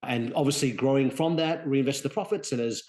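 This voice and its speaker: A man in his forties who grew up in Australia, speaking as an interview guest over a remote call, quick and full of restarts. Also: medium pitch, a little mellow. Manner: quick, restarts often, chuckles. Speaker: guest, real estate executive. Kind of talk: conversational interview. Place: home in Sydney.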